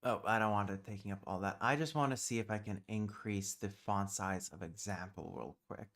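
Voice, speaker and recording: Casual streamer, a man with a lowish voice, mutters through tasks and swears when stumped. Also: a little fast, a bit bright. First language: British Sign Language. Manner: thinking aloud, muttering while working; easily exasperated, swears under breath. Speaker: livestream host. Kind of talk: casual livestream chat.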